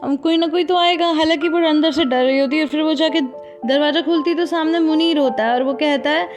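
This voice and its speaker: High-voiced woman recapping a drama. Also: fairly even intonation, brisk. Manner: chatty, speaks directly to viewers. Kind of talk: conversational plot recap. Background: background music.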